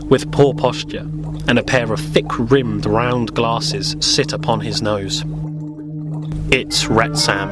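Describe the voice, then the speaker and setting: A man giving scripted narration for an audio drama parody. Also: medium pitch.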